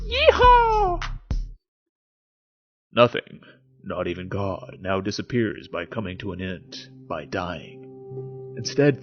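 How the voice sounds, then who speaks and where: A man's voice: low-pitched, muffled recording. A man hosting an informal video essay, explaining and dramatically reading philosophy with emphatic, theatrical delivery. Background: music.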